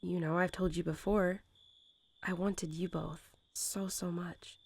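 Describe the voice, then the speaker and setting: Woman playing a gentle mother wolf, softly low-voiced. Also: little pitch movement, vocal fry, husky, full character voice. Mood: fond, reminiscing. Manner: tender, unhurried, softly chuckling, hesitant informal phrasing. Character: gentle young mother wolf soothing her newborn pups. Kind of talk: soothing bedtime roleplay audio, intimate storytelling.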